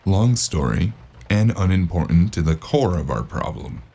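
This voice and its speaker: A man playing a weary, sardonic noir investigator in an audio drama, gravelly and deadpan. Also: deep voice, moderate pace, exaggerated voice. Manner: dry, deadpan. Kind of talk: noir audio drama acting and first-person narration.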